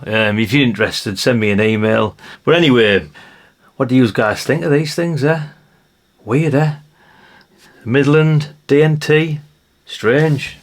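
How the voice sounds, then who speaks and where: Casual man, offhand and chatty. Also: mid-range voice. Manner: chatty, offhand, matter-of-fact, tags remarks with questions. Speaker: vlogger reviewing vintage radios. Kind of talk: casual hands-on product demonstration.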